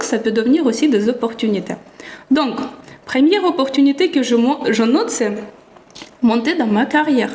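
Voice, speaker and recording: A woman with a fairly high voice talks in a thoughtful, searching way, sometimes restarting a thought mid-sentence. She speaks as a self-development vlogger reflecting on herself. The recording carries a noticeable echo from the room. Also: a little mellow. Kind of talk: personal reflective vlog talk.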